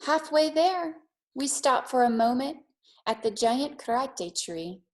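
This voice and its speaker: A woman's voice: high voice, animated, slow pace. Woman, teacher, read-aloud style, child-directed, simple encouraging phrasing. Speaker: teacher reading aloud and setting a challenge for young children. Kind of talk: picture book read-aloud and children's lesson.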